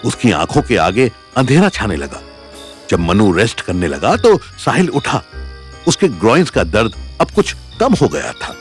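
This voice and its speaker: A man's voice: on the low side, big pitch swings, measured pace, soft background music. A man narrating a dramatic audio story in a scripted storytelling style.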